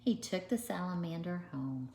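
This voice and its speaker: A woman teaching pre-K, reading a story aloud to young children in an expressive, child-directed way. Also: fairly low pitch, moderate pace, creaky. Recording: echoey room, faint background noise.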